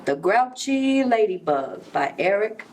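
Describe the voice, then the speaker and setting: A woman reading a storybook aloud to young children, expressive, with explanatory asides. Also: mid-range voice, slow. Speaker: Head Start center director reading to children. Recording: distant mic, clear background noise.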